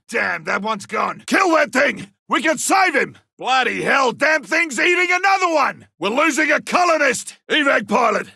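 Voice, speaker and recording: Gruff monster hunter, game callouts: husky, piercingly tense, dry studio sound, wry loner. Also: male voice, very high voice, pushed and bright, dry recording, full character voice. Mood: urgent, shouting. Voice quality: gravelly, raspy. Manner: blunt, wry, barking. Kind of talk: video game combat callouts.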